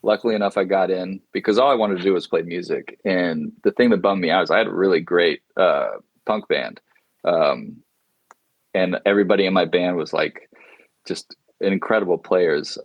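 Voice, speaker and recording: Deep voiced guy in his 40s, rambly storyteller w lots of ums, dry room sound. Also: normal speed, dry recording, highs cut off. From grew up in Indonesia. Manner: rambling, many fillers and restarts, laughs often. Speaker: guest musician. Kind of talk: casual interview storytelling.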